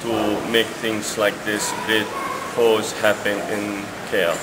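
A middle-aged man from Syria giving an unscripted testimonial after a seminar, pausing often with fillers. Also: lowish voice, flat delivery. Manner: halting, many fillers. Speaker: seminar attendee. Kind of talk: spontaneous testimonial. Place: indoor seminar hall. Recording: loud hiss and air-conditioning hum.

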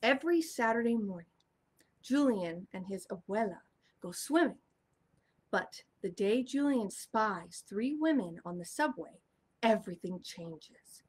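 Animated, slightly husky young woman. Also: medium pitch, a little slow. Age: young adult. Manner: expressive, enunciating for children. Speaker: children's storytime host. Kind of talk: animated read-aloud for young children. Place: small furnished room indoors.

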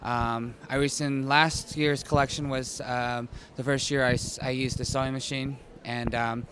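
A man from Arizona introducing his evening wear line at a press junket, halting with many fillers. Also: mid-range voice, flat delivery, a bit bright, slight background noise. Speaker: fashion designer. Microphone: handheld mic.

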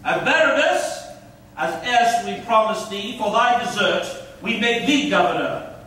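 A man's voice: high voice, animated, a little slow, bright, forceful tone, exaggerated voice. A man playing a proud conquering commander on stage, declaiming old verse in an echoey hall. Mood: stern, triumphant. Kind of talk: theatrical staged reading of Elizabethan verse. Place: theatre stage, indoors. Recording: echoey stage hall from far off, faint noise.

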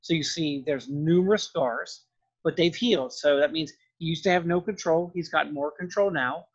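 Higher-voiced male lecturer, muffled audio. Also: moderate pace. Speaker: professor lecturing. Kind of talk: informal film-costume lecture. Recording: dull lo-fi audio.

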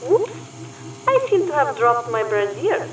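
A woman's voice: high voice, sing-song, unhurried, a bit bright, heavily acted. A woman playing a sly, flirtatious ghost spy in an audio drama, with a Russian accent and stilted English. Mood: seductive. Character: seductive, confident Russian female spy ghost. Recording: roomy, distant, faint noise.

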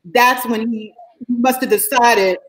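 A middle-aged woman telling a backstage story in casual chat on a video call, animated and laughing as she goes. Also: fairly high pitch, takes it slowly, poor audio. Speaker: guest performer.

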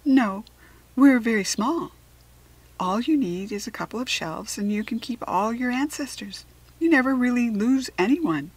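A woman's voice: fairly high pitch, animated, a little slow, breathy edge. A woman voicing a polite, chatty, slightly superior talking hare from another world in a children's storybook, articulate and formal. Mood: lofty, matter-of-fact. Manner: articulate, formal, self-assured. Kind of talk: children's storybook character reading.

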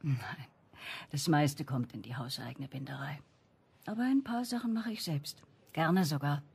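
An actress playing a kindly, fussy older library clerk in a crime radio play, chatty and wistful with a croaky voice. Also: female voice, sits low, measured pace, darkish tone, heavily acted. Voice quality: croaky, husky. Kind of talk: German radio crime drama acting.

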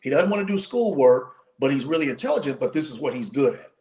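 A man in his forties, a podcast guest telling his story in an animated way with lots of restarts, his voice starting to wear out, speaking over a video call from home. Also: mid-range voice, fast. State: losing his voice. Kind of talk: casual interview storytelling. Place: home room, indoors.

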